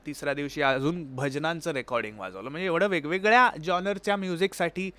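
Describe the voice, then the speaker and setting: A man's voice: on the high side, quick pace, sharp tone. A man, an interview guest explaining his experiences conversationally and mixing in English words. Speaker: interview guest, sitar player.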